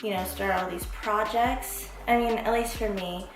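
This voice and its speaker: A woman's voice: mid-range voice, steady tone, moderate pace. A woman in her twenties from Las Vegas, hosting her own vlog in a candid, reflective monologue with casual swearing. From Las Vegas, USA. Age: 20s. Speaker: vlog host. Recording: echoey room with background music.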